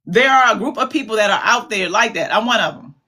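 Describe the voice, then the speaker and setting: Casting note: bright, emphatic woman host, talks straight to viewers in a casual, repetitive style. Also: medium pitch, expressive, fast talker, slight background noise. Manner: chatty, calls viewers "babies", repeats herself for emphasis. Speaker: commentary livestream host. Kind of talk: casual live commentary.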